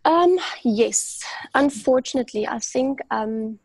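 A woman in her thirties with a South African accent, a native Afrikaans speaker, talks over a video call through an earphone mic. Her voice is a little high, with a husky, gravelly grain. The sound is dry and close, with hardly any room around it. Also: slow pace, darkish tone, dry recording. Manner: thoughtful, frequent fillers and restarts. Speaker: guest, medical doctor. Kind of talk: casual interview talk. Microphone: earphone headset mic on a video call.

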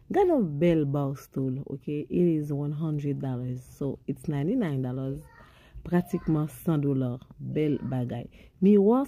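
A woman's voice: deep voice, warm tone. A woman in her thirties chatting casually through a home decor shopping vlog, often checking in with "ok?". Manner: chatty, keeps asking "ok?". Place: home living room and decor stores.

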